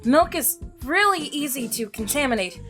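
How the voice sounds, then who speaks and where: A naive, nerdy young milkman from a visual novel, acted out by a woman, earnest and bashful. The delivery stammers and moves around in pitch, with music playing behind it. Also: expressive, moderate pace, pushed and bright. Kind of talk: visual novel character voicing.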